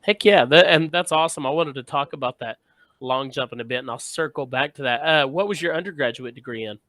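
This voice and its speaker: A man hosts a casual sports interview in an informal, encouraging manner. His pitch sits in an ordinary middle range for a man, and the sound is dry, with no room around it. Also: brisk, a bit bright, no room sound. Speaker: host.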